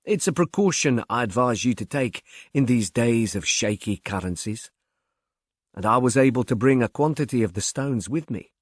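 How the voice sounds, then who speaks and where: A man's voice: mid-range voice, animated, normal speed, dry recording, clean studio sound, natural voice. A man voicing a smug, insane murderer who confesses in pompous, precise, wordy language, as in an audiobook. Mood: smug, matter-of-fact. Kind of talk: audiobook first-person confession.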